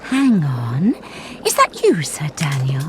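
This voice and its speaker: A woman's voice: sits low, wildly swooping pitch, takes it slowly, a little hiss or hum, heavily acted. A woman voicing an eccentric, doting, volatile witch in a game cutscene, theatrical and given to swings between murmurs and shrieks. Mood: surprised, musing. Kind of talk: animated game cutscene acting.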